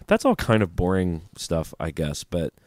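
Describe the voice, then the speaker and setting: A man's voice: sits low, huge pitch swings, moderate pace, darkish tone, no room sound, clean studio sound. A man co-hosting a casual podcast with a stuffy nose, joking around and reading listener emails aloud. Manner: joking, breaks into singing. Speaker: podcast co-host. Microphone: studio microphone, preamp talk.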